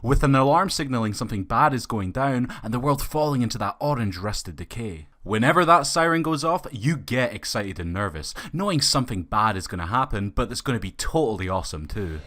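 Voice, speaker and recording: A man's voice: medium pitch, animated, a little fast, hardly any pauses, a bit bright. A man reading a scripted film review as a video reviewer, with opinionated, colloquial phrasing.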